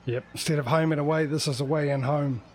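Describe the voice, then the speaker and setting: A man's voice: a little high, steady tone, quick pace, a little mellow, slight background noise. A man chatting casually as a livestream host, rambling and hesitant, often correcting himself as he goes.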